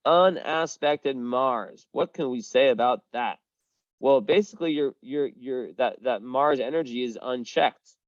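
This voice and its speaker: A man's voice: medium pitch, measured pace, no echo, lo-fi sound. A young man giving a casual astrology reading, rambling with lots of fillers, restarts and the odd swear. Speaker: astrology reader. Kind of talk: casual one-on-one chart reading.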